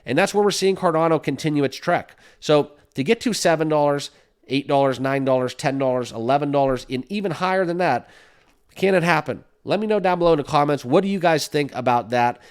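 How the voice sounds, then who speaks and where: A man's voice: on the high side, fairly quick, clear, resonant tone. A man hosting a crypto channel, chatting casually and upbeat with lots of fillers and "right?" tags, sniffling with a slight cold. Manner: upbeat, full of fillers, keeps asking "right?". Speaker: crypto YouTube host. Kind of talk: casual talk to camera.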